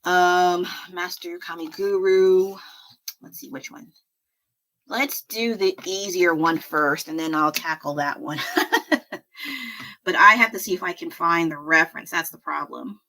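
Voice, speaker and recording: A woman with a low voice, chatting casually at her home desk while drawing on a livestream, rambling and poking fun at herself with frequent laughs. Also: fairly even intonation, unhurried, sharp tone. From United States. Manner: rambling, self-deprecating, laughs often. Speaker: livestreaming artist.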